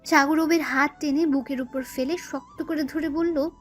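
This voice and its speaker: A woman narrates a scripted audio story. Her voice sits high, and music plays behind her. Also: steady tone, normal speed. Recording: music bed under the voice. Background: background music.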